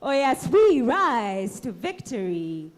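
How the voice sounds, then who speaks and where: A woman performing spoken word on stage, declaiming with passion, dramatic pauses and repetition. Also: fairly high pitch, very animated.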